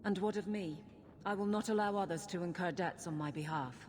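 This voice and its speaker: A woman's voice: on the low side, a little fast, a little mellow, slight background noise, close to an everyday voice. An actress playing a proud, stern warrior woman in a video game, speaking firmly and formally. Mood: proud, reluctant. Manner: firm, formal. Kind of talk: video game drama acting.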